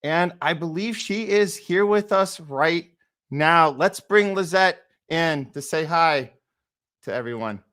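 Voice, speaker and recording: A man's voice: on the high side, slow pace, a bit bright. A man hosting a talk show in a casual, conversational style. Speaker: show host.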